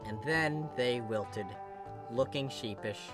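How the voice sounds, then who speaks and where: A person reading a story aloud as an expressive narrator, doing scripted prose and character dialogue. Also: medium pitch, slow pace. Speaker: fan fiction reader. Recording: music behind the voice. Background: music.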